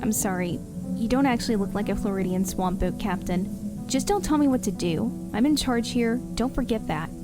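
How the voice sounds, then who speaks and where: A woman playing a brisk, sharp-tongued lead police detective in an audio drama, dry and authoritative. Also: mid-range voice, music in the background, lightly acted. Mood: bossy.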